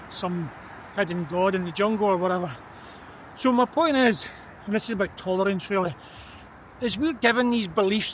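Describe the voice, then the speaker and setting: A man's voice: sits high, a bit bright, slight background noise. A man talking thoughtfully as he walks outside at night, often repeating words as he gathers his thoughts. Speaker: vlogger. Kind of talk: reflective walking vlog. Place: outdoors on a city street at night.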